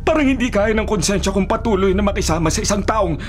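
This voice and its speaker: A man's voice: sits high, fast, soft background music, lightly acted. An actor playing a righteous, accusing man in a Filipino radio drama, earnest and stern. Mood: anguished reproach. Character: righteous, accusing man confronting a criminal woman.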